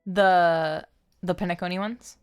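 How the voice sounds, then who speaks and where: A woman hosting a game livestream, chatting casually in an animated, playful, rambling way. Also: fairly low pitch, unhurried, clean tone. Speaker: game streamer and host. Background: background music.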